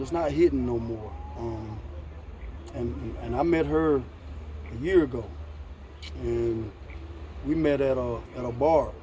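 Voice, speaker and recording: An American man hosting a casual outdoor conversation, relaxed and repeating his phrases. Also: mid-range voice. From United States. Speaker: host.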